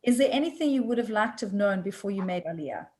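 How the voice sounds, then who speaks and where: A woman hosting a casual online interview, friendly and quick to laugh. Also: mid-range voice, lively intonation, darkish tone. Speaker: host.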